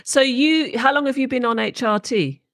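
A woman's voice: sits high, moderate pace, fairly bright tone, no room sound, studio quality. A woman hosting a podcast interview, asking encouraging questions. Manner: friendly, encouraging prompts. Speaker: podcast host.

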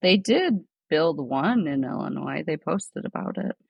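A woman's voice: low-pitched, expressive, a little slow, vocal fry, slightly rough, dry recording, highs cut off. A woman co-hosting a casual chat podcast, laid-back and drawling, asking her co-host questions.

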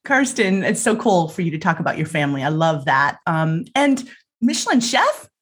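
A woman co-hosting a podcast has a very husky, breathy voice. Her pitch swings widely as she reacts to the guest. Her comments are short and effusive. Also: very animated, normal speed. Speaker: podcast co-host. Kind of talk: podcast interview chat.

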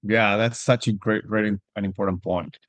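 A man's voice: fairly low pitch, fairly even intonation, moderate pace, fairly bright tone, no room sound. A man from Argentina hosting an interview, asking long questions with frequent restarts and "right?" tags. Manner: searching for words, restarts, rising "right?" tags. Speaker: podcast host.

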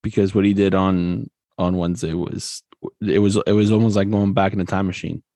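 A man co-hosting a casual sports podcast, relaxed and chatty, laughing often and filling pauses with "um". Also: sits low, no room sound. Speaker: podcast co-host.

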